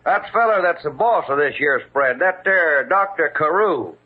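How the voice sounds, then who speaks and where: High-pitched drawling Western cowboy. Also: male voice, animated, moderate pace, husky. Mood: folksy, chatty. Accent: American Western. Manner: drawling, folksy cowboy slang. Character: folksy, flirtatious Wild West show cowboy patient. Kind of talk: old-time radio acting. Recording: muffled, thin old radio recording.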